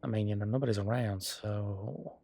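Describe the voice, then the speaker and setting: A man playing an affectionate, easygoing werewolf bodyguard in an intimate audio roleplay, talking in a casual, folksy, halting way. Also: on the low side, vocal fry, warm tone. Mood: flirty, teasing.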